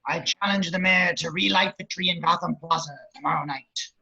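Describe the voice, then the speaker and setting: A man playing a crude, lecherous, scheming villain running for mayor, with a very raspy voice and wisecracking delivery, in an amateur script read. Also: a little high, steady tone, normal speed, bright, forceful tone, dull audio, heavily acted. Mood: smug scheming. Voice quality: very raspy, gravelly. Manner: leering, wisecracking.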